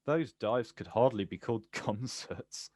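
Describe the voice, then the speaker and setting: An actor playing a bitter, protective older brother, confrontational and incredulous, in a naturalistic stage drama. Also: male voice, mid-range voice, animated, measured pace, a little mellow, no room sound. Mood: wry, softening. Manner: confrontational, incredulous, clipped questions.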